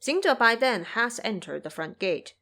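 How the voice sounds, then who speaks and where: A woman narrating a classic fantasy tale in a measured, descriptive reading. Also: a little high, very animated, sharp tone. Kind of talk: scripted storybook narration.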